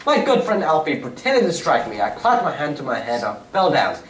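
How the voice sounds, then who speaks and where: In a distant, echoey hall recording, a man acts a confident gentleman detective of old radio drama. The voice is fairly high and very animated, with formal, old-fashioned turns of phrase and a theatrical, explanatory manner. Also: fairly quick. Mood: assured, explanatory. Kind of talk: 1940s-style radio drama stage acting. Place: community theatre stage reading. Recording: echoey hall, distant mic, faint noise.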